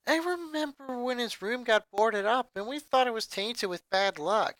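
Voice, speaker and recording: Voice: mid-range voice, moderate pace, fairly bright tone, no echo, pitched above the performer's own voice, natural voice. A fan reader voicing a bubbly, airheaded blonde teenage girl with valley-girl talk. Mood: gushing.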